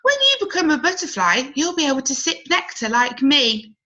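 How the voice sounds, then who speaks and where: A woman's voice: sits high, very animated, moderate pace, pushed and bright, highs cut off, voice pushed up, heavily acted. A woman voicing a friendly, eager bee in a children's storybook, enthusiastic and reassuring. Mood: encouraging. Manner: encouraging, enthusiastic. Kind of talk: children's storybook read-aloud.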